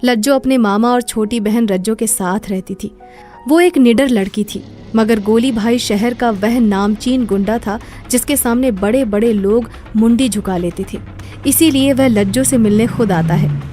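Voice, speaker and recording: A woman's voice: a little high, moderate pace, warm tone, faint music behind. A woman narrator reading a scripted moral story.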